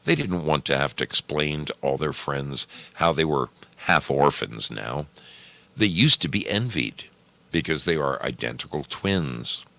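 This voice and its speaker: A man narrating a story expressively, with measured, dramatic phrasing. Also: extremely low pitch, expressive, pushed and bright, no room sound.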